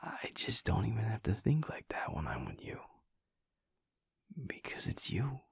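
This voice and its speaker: A man playing a tender, earnest werewolf boyfriend in an intimate audio roleplay, speaking softly and haltingly with a breathy, gravelly voice. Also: lowish voice, very animated, no echo, in a whisper, full character voice. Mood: devoted, heartfelt. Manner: hushed, halting, heartfelt, soft-spoken.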